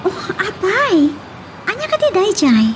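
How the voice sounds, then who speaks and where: A woman's voice: extremely high pitch, wildly swooping pitch, unhurried, background noise, exaggerated voice. A woman playing a friendly, inquisitive young woman in an Ilocano drama. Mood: curious, concerned. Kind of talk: Ilocano radio-style drama acting.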